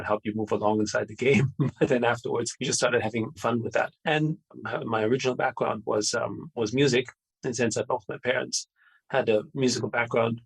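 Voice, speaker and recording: A middle-aged man from Austria answers a podcast host's questions in a loose, rambling way, full of fillers and restarts. His voice has a gravelly, slightly husky texture. He talks at a brisk clip. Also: medium pitch, rough recording. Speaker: podcast guest. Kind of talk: conversational interview answer.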